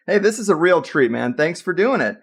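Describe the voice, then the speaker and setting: A man's voice: fairly high pitch, very animated, normal speed, gravelly, breathy edge. A man hosting a podcast, reading an emphatic scripted intro and then chatting casually with his guest. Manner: emphatic, hype-style introductions. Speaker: podcast host.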